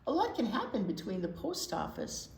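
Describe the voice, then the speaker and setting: A woman reading a picture book aloud to young children as a storytime teacher, expressive and emphatic, explaining things simply. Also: a little high, normal speed. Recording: roomy echo with faint noise.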